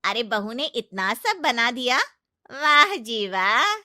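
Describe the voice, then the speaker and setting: A woman plays a bossy middle-aged aunt-in-law from a family moral story. She scolds and taunts in a high, sharp voice that swings with indignation, and the recording is dry and close. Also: sing-song, slow, no room sound, full character voice. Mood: taunting, scolding. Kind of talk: exaggerated family-drama storytelling.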